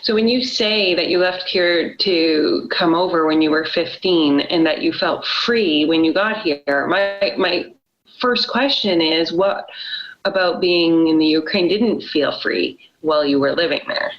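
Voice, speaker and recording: A woman from Canada hosting a podcast interview over a video call, chatty and animated with lots of fillers like "like" and "right". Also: on the low side, unhurried, lo-fi sound. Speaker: podcast host.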